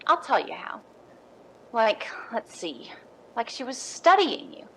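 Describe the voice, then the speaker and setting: A woman's voice: on the high side, big pitch swings, slow pace, a little hiss or hum, heavily acted, low-quality recording. A woman playing a blunt, wary maid and confidante in a 1950s radio drama, speaking with wry emphasis. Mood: wry suspicion.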